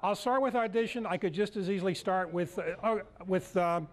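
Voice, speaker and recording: A man in his sixties giving an informal live demonstration as a lecturer, halting as he searches for words. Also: sits high. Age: 60s. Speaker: lecturer at a club workshop. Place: indoor meeting room, speaking to a group.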